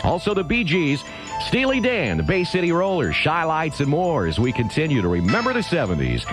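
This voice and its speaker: Animated American male radio DJ. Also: fairly high pitch, fairly quick. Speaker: radio DJ hosting a seventies music show. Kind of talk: radio DJ patter between songs. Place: radio studio. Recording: radio aircheck with music behind.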